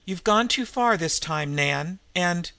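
Steady gentle young woman, read out plainly, quiet and even, a bit gravelly, dry close sound. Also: mid-range voice, takes it slowly, no echo, exaggerated voice. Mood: calm.

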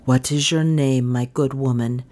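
Woman playing a low-voiced, bewildered old man. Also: steady tone, a little slow, a little mellow. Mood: anxious questioning. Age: older.

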